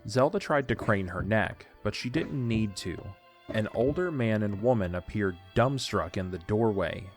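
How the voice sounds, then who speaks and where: A man's voice: lowish voice, animated, a little slow, soft background music. A man narrating a scripted story for an audio drama.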